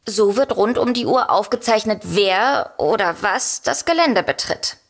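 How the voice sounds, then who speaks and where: Composed, earnest novelist, medium pitch. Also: female voice, animated, unhurried. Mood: serious. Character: composed, matter-of-fact woman novelist, worried but sensible. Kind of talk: audio drama dialogue.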